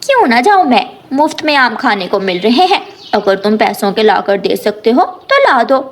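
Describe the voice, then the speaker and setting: A woman voicing a sly, selfish female crow wife in a cartoon, scolding and sharp-tongued. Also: fairly high pitch, very animated, normal speed, slight background noise.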